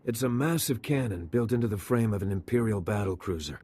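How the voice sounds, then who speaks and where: Man acting a husky-voiced undercover agent. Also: on the low side, fairly quick, dark tone, close to an everyday voice. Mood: grave briefing. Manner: wry, colloquial. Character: weary, wry male undercover intelligence agent. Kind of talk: video game voice acting.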